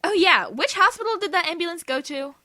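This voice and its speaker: A woman's voice: very high voice, expressive, a little fast, sharp tone, exaggerated voice. A woman playing a bossy, opinionated schoolgirl club leader in an anime-style audio drama, speaking assertively. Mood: scolding. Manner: assertive, declarative. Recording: music behind the voice.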